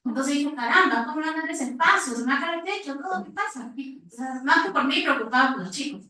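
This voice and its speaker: A woman's voice: high-pitched, lively intonation, fast talker, bright, forceful tone. A woman with a Peruvian accent speaking informally from the audience at a conference, telling a story and asking a question. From Peru. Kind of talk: spontaneous anecdote and question to panelists. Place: reverberant indoor conference room. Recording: very echoey room, distant microphone.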